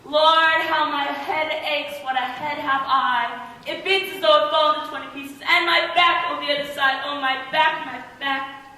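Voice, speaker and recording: In a very echoey hall, far from the mic, a woman plays a fussy old nurse in Shakespeare. She is garrulous and weary, rambling and teasing in a bright, fairly high theatrical voice. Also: animated, unhurried. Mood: moaning, aggrieved. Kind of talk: Shakespeare stage acting. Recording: echoey hall, distant mic, faint noise.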